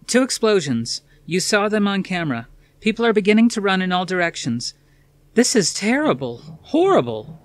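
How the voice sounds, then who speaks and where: A man's voice: high voice, sing-song, moderate pace, pitched above the performer's own voice. A man voicing an urgent, breathless female TV news reporter in a dramatic audiobook reading. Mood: alarmed, excited. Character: panicked female TV news reporter amid an attack.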